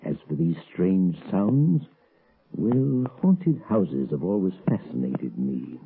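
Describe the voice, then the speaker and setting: Husky sly husband, swooping deep voice. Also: male voice, big pitch swings, slow, full character voice. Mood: musing, menacing. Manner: mock-genteel, musing, theatrical. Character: sly, eccentric husband, an inventor fascinated by ghosts. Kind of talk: 1930s radio acting. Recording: tinny old radio, music behind.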